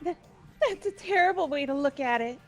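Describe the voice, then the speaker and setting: A woman voicing a timid, gentle, earnest youngster in a fan-voiced game, with hesitant, stammering, meek speech. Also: high-pitched, animated, fairly quick, faint music behind. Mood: distressed, pleading. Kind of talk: amateur voiced video game playthrough.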